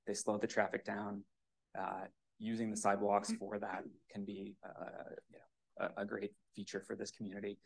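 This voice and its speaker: A man's voice: lowish voice, monotone, moderate pace, gravelly. A man giving a hesitant, pleading public comment at a municipal commission meeting on a podium microphone. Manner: hesitant, many fillers, pleading.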